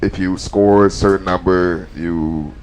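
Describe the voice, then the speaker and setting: A man's voice: deep voice, steady tone, a little slow, a little mellow, a little hiss or hum. A young man from a Trinidadian and Dominican family, chatting casually and reflectively with friends and laughing easily. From Trinidadian and Dominican family, grew up in South Florida. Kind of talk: casual podcast chat.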